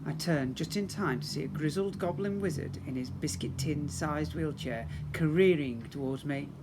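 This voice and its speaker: A man reading a children's storybook aloud as a teacher, in an expressive, dramatic storytelling manner. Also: a little high, moderate pace, noisy background.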